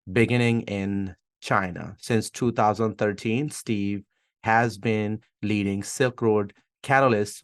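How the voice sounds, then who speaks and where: A man hosting an interview podcast, introducing his guest and asking questions. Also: on the low side. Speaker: podcast host.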